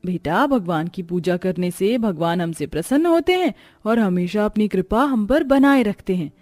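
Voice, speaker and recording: A woman playing a kind, dutiful poor mother and housemaid in a cartoon story, speaking gently and instructively. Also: mid-range voice, lively intonation, normal speed, no room sound, soft background music, natural voice. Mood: patient, gentle.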